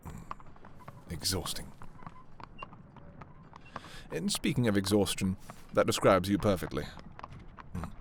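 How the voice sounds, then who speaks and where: Casting: male actor as a dark romantic prince, low-voiced, commanding, possessive, with widely swinging intonation, for fantasy ASMR roleplay. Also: background noise. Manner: slow, deliberate, imperious yet intimate. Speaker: audio roleplay performer.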